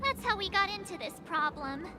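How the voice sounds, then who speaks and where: A woman's voice: very high voice, animated, brisk. A woman voicing a cheerful, chirpy young girl adventurer in a video game. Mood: chatty. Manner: chirpy, giggly, sheepish. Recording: game dialogue over loud music.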